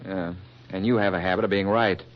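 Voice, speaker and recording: An actor playing a wry, sceptical American reporter with a dry, sardonic delivery in a 1940s radio comedy. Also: male voice, low voice, fairly even intonation, fairly quick, natural voice. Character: wry, sceptical, wisecracking male newspaper reporter sidekick. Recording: dull vintage radio recording.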